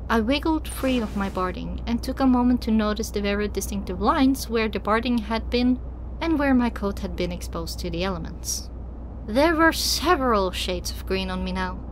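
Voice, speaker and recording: A woman reading scripted first-person fiction as an audiobook narrator, in an expressive, dramatic way. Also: medium pitch, normal speed, slight background noise, rough recording.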